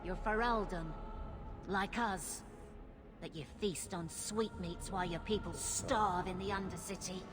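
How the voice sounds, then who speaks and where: An actress plays a menacing, unhinged mother figure in a video game. Her voice sits fairly low and she takes her words very slowly. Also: natural voice. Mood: scornful. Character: crazed, menacing mother figure to street children.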